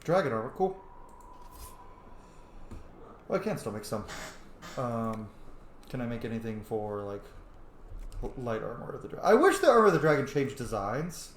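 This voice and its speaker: A man plays a video game and talks through it casually, half to himself. His mid-pitched voice sits in an echoey room with faint background noise. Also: sing-song, a little fast. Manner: thinks aloud, mutters choices to self. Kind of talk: casual let's-play commentary.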